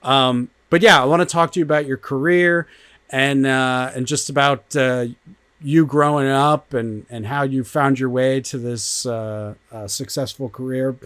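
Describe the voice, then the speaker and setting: A man hosting a casual interview, chatty and quick to laugh, with halting, filler-heavy phrasing. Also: medium pitch, steady tone, fairly bright tone, clear, resonant tone, dry recording. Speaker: podcast host.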